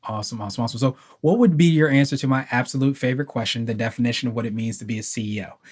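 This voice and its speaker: A man's voice: medium pitch, rapid-fire, darkish tone, husky. A man hosting an interview who keeps affirming his guest and restating the guest's points at length. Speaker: podcast host. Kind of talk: conversational interview.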